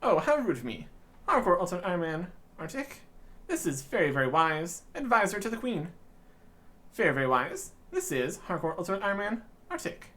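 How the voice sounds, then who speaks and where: A man's voice: high voice, big pitch swings, brisk, bright, forceful tone, heavily acted. A man voicing an anxious, earnest fairy while reading video game dialogue aloud. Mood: polite.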